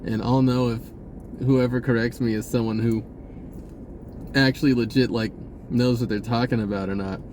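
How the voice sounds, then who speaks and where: A man talking casually in a rambling spiritual monologue, halting and often interrupting himself, in an informal way. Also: medium pitch, fairly even intonation, background noise. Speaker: spirituality vlogger.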